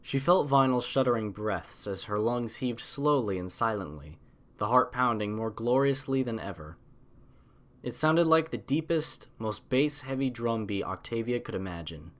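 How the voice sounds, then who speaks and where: A man's voice: mid-range voice, lively intonation, moderate pace. Man narrating a dramatic story. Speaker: audiobook narrator.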